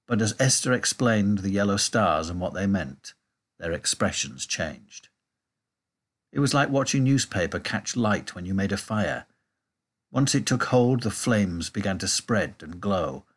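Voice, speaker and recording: A man reading a children's storybook aloud in a measured, expressive way. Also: lowish voice. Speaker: audiobook reader. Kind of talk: storybook narration.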